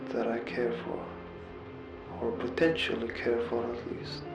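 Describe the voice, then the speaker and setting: A man with a heavily creaky, gravelly voice speaks slowly in an earnest, confessional monologue. He halts and repeats phrases as he reflects, in a roomy, echoing space with music underneath. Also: mid-range voice. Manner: halting, repeats words and phrases, pausing mid-sentence.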